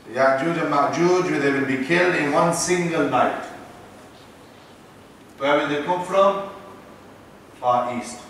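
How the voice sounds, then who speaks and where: A man's voice: fairly high pitch, slow, long pauses, fairly bright tone, a little hiss or hum. A man with a British accent, an imam giving an Islamic lecture and teasing his listeners with asides. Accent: British English. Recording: echoey room, voice from far off.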